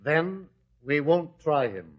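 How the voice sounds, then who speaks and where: A man's voice: fairly high pitch, very animated, slow pace, full character voice. A man playing a principled, defiant judge in a radio drama, speaking in firm declarations. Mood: firm defiance. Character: principled, defiant male judge of high standing. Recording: dull lo-fi radio recording.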